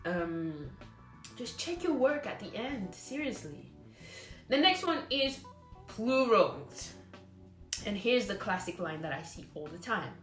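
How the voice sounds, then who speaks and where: Woman, teacher-trainer lecture, blunt and scolding, repeats herself for emphasis; echoey room, soft music under. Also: mid-range voice, very animated, slow pace.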